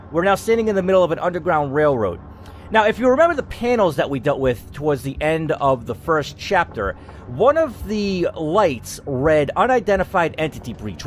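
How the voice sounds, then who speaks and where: A man's voice: on the high side, slight background noise. A young man hosting a gaming channel, reading an interactive story game aloud and breaking off into casual, swearing asides.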